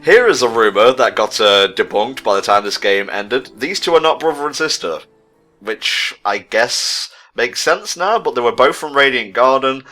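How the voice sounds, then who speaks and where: A man's voice: medium pitch, lively intonation, moderate pace, sharp tone, soft background music. A man doing casual video game co-commentary in an explanatory, lightly bantering way. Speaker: let's play commentator.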